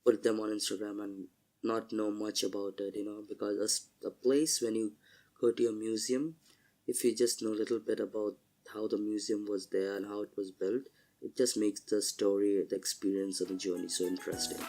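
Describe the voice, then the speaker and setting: A man with a sore throat and a husky voice, chatting casually as a podcast co-host and slowly searching for his words. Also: on the low side, level tone, warm tone, rough recording. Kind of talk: casual chat.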